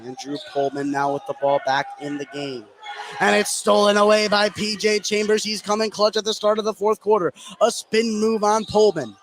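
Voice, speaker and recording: A man's voice: sits high, steady tone, moderate pace, a little hiss or hum, poor audio. An American man doing live play-by-play basketball commentary on radio.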